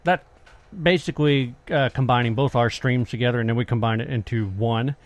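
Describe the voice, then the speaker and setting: A man's voice: mid-range voice, fairly even intonation, fairly quick. A man in his late forties hosting a podcast, chatting and telling stories in a casual, conversational way. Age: late 40s. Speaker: podcast host, paranormal investigator.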